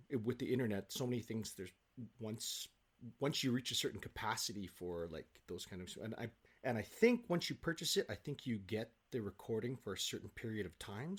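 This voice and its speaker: A man speaking casually as an interview guest on a video call, in a halting, rambling, animated way. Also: medium pitch, breathy edge. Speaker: guest, stage actor. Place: home office, on a video call. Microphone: video call microphone.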